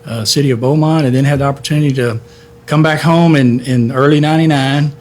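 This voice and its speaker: Measured middle-aged man with fillers. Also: medium pitch, fairly even intonation, darkish tone. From born in Hawaii, raised in Texas. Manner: measured, frequent filler words. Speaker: guest, history center director. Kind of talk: conversational interview.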